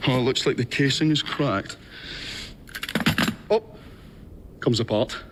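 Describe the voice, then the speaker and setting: Man playing flustered, stammering Victorian gentleman inventor. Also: medium pitch, takes it slowly, very noisy, natural voice. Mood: absorbed, explanatory. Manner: hesitant, stammering, excitable. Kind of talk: dramatic game cutscene acting.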